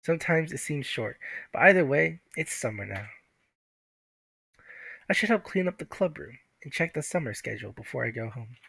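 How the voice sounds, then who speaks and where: A man's voice: fairly high pitch, animated, normal speed, creaky, pauses often, fairly bright tone, husky, heavily acted. Man reading as earnest teenage schoolgirl heroine. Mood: musing. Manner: wry inner monologue. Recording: music behind the voice.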